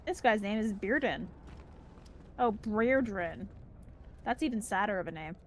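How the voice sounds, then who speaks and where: A woman's voice: mid-range voice, lively intonation, measured pace, slight background noise. Playful woman streamer. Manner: playful, teasing. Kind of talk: casual gameplay chat.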